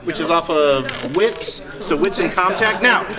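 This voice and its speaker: A man's voice: fairly high pitch, animated, measured pace. A man running a live tabletop game as game master and explaining rules, recorded at a live event. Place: large convention room before an audience. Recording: live event with loud crowd noise.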